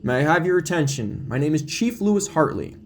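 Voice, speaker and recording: A man playing a measured, official police chief in an audio drama, formal and guarded. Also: a little high, very animated, a little hiss or hum, voice pushed up. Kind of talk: audio drama character acting.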